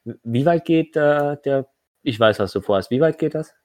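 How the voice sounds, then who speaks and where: A man speaking as game master, narrating a tabletop role-playing game casually and haltingly as he searches for words. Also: fairly high pitch, animated, a little mellow, dry recording.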